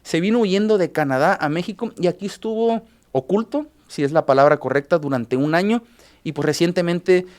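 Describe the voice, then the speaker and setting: Halting Mexican journalist man. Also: fairly high pitch, a little mellow. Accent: Mexican Spanish. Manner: halting, restarts with filler sounds, recapping cases. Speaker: journalist guest on radio news show. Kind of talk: radio news discussion.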